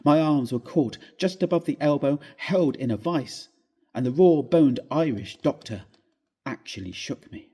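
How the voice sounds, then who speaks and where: A man giving a dramatic, expressive reading of a Gothic ghost story, with deliberate phrasing and a husky voice. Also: fairly high pitch.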